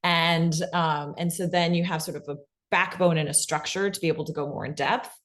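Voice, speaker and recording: Low-voiced woman explaining casually. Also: steady tone, brisk. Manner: informal, heavy use of "like" and "you know". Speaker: expert guest on pitching audio drama. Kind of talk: casual explanatory panel talk.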